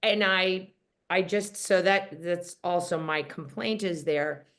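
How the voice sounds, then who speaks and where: A woman with a fairly low voice tells a story on a group call. She talks in a chatty, wry way with plenty of fillers. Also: fairly even intonation, slow pace, a bit bright. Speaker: caller, teacher. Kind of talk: sharing on a coaching call.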